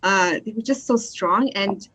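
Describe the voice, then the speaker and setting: Woman filmmaker chatting about her work in an interview, average pitch. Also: a little slow, a bit bright, breathy edge, low-quality recording. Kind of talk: casual conversational interview answers.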